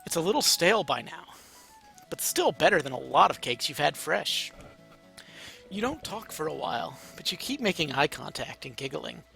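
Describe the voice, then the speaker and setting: A man's voice: a little high, sing-song, moderate pace, vocal fry, sharp tone, soft background music. A man narrating a story aloud in a casual, amateur, playful way. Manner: informal, playful.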